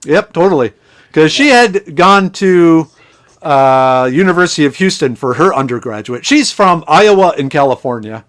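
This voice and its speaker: A middle-aged man from Minnesota with a slightly high voice, talking as a podcast guest. He tells rambling stories and starts his sentences over often. He sounds like he is on a remote call. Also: expressive, moderate pace, fairly bright tone. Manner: chatty storyteller with frequent restarts. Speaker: podcast guest, chemist and foraging instructor. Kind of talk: casual podcast conversation.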